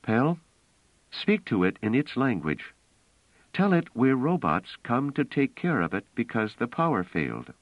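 A man reading aloud, voicing a gentle but firm young woman with a soft, coaxing manner. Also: mid-range voice, sing-song, a little slow, no echo, pitched above the performer's own voice. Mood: urgent. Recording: dull, dry studio audio.

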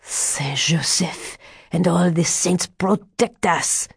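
Woman doing a sly old fortune teller guy from a storybook, slow n ominous, low voice, muttering and exclaiming. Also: steady tone, mellow, dry recording, clean studio sound, full character voice. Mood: anguished, accusing. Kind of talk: audiobook character dialogue.

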